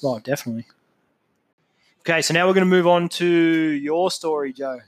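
A man with a somewhat higher voice hosts a casual interview. He encourages his guest with steady short affirmations, recorded close and dry. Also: normal speed, no echo. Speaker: podcast host.

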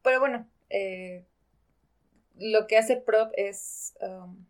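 A woman's voice: medium pitch, very slow. Woman in casual, explanatory literary discussion. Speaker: podcast co-host.

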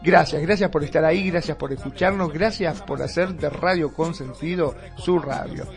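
A man's voice: fairly high pitch, normal speed, music in the background. A man with an Argentine accent co-hosting a casual radio show, explaining things in a searching, stop-and-start way. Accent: Argentine Rioplatense. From Mar del Plata, Argentina.

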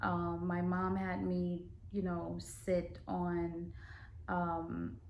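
A woman from Guyana hosting a podcast, talking casually and with emphatic, animated storytelling. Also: lowish voice, very slow, muffled recording, a little hiss or hum. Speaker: podcast host.

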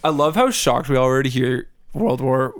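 A man's voice: medium pitch, expressive, normal speed. A man chatting casually, colloquial and reflective as he responds to others. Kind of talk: casual chat.

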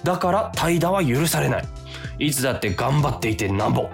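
A man's voice: medium pitch, lively intonation, brisk, vocal fry, soft background music. A man playing an earnest, flustered office worker who sees himself as a hero, acting and narrating a radio drama. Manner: dramatic inner monologue, earnest.

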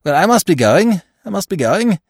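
A flustered middle-aged gentleman from a comic audiobook, stammering and panicky, his voice jumping high in alarm. A man voices him while narrating. Dry, close sound. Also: very animated, moderate pace, slightly rough, no room sound, heavily acted. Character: flustered middle-aged stepfather, nervous and guilty.

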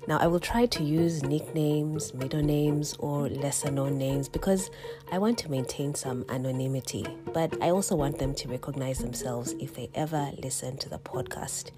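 A Ugandan woman hosting a personal storytelling podcast, slightly croaky from a cold, reflective and quick to chuckle. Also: low-pitched, fairly even intonation, over music. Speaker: podcast host.

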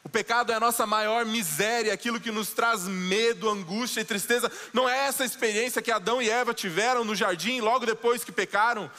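A man preaching a sermon as pastor, slowing down and dropping low for dramatic quoted lines. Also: bright, forceful tone, in a large hall. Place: church sanctuary.

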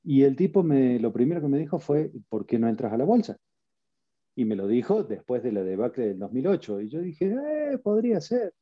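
A man's voice: medium pitch, sing-song, fairly quick. A middle-aged man from Argentina with an Argentine Spanish accent, a podcast guest speaking in a wry, self-deprecating way. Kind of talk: casual interview chat. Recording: dry, muffled audio.

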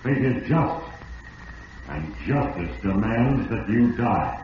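A man playing Fate, a solemn and implacable radio drama narrator who speaks gravely and deliberately as he passes judgment. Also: lowish voice, expressive. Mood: stern, condemning. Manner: grave, portentous, deliberate delivery. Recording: muffled, echoing old radio recording, distant, hissy.

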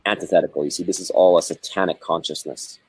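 A man talking reflectively about spirituality in a conversation, with measured, searching phrasing and many pauses. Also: low voice, steady tone, slightly rough, no room sound. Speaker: spiritual guest.

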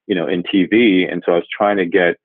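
A man in his forties from Jamaica, a guest speaking in a measured, thoughtful way and often pausing to rephrase. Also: deep voice, fairly even intonation, no echo. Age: 40s. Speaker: guest, revenue executive. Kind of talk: reflective interview answers. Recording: tinny phone-like line, dry.

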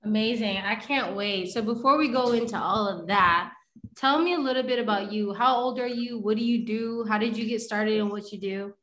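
A woman's voice: mid-range voice, normal speed, fairly bright tone, roomy sound, slight background noise. A woman hosting a casual interview, upbeat and chatty. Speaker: host.

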